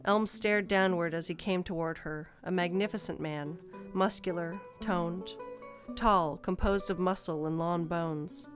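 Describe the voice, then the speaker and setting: A woman's voice: lowish voice, steady tone, a little slow, faint music behind. A woman narrator reading a scripted fantasy story.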